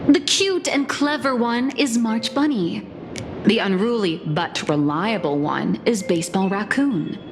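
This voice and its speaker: A woman playing an earnest, wry comic artist in an anime-style video game, explaining things in a measured, slightly theatrical way. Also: medium pitch, expressive, noisy background. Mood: explanatory. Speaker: comic artist presenting her work. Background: music.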